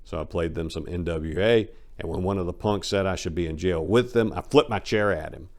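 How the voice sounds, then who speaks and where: A man's voice: deep voice, fairly quick, a little mellow. A man giving a dramatic reading of a first-person memoir in a brash, swaggering storytelling manner. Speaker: narrator of a memoir.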